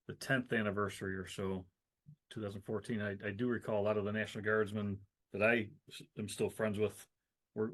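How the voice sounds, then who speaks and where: A man hosting an interview, respectful toward his guest and answering with short affirmations. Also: lowish voice. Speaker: podcast host.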